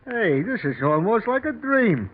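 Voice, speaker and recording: A man playing an easygoing, gullible hobo in a 1940s radio mystery, with rough, slangy, ungrammatical talk. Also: high-pitched, sing-song, measured pace, exaggerated voice. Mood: delighted. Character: easygoing, gullible male hobo hired for a job. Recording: scratchy, narrow-band 1940s radio recording.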